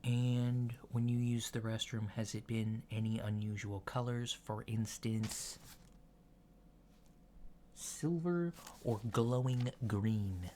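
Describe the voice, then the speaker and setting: Slow, soft-spoken alien doctor played by a man. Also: mid-range voice, lightly acted. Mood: matter-of-fact. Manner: halting, mild, matter-of-fact. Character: polite, curious alien doctor giving a checkup. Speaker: ASMR roleplayer. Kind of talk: soft-spoken ASMR roleplay. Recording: lo-fi with clear background noise.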